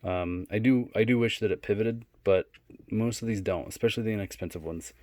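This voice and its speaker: A man giving a casual, unscripted product demonstration in a low-key, halting way. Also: darkish tone, dry recording. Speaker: product reviewer.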